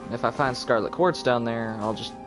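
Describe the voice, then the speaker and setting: A man's voice: mid-range voice, a little fast. A man giving casual live commentary on a video game, thinking aloud as he plays. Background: video game music.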